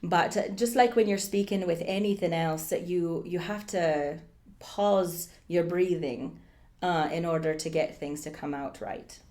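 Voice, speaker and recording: A woman with a slightly low voice speaks in a put-on Irish accent. She coaches informally, demonstrating and explaining how the accent works, often halting to think aloud. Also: warm tone. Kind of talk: informal spoken lesson on accents.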